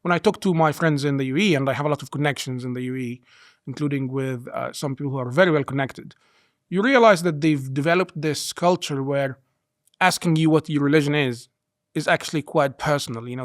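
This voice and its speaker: A man's voice: a little high, no room sound, clean studio sound. A middle-aged man from Egypt with an American-schooled English accent, talking earnestly in an interview and filling his speech with "you know". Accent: mixed Egyptian and American-schooled English. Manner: earnest, many "you know" fillers, quiet trailing asides. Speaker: guest. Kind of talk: conversational interview.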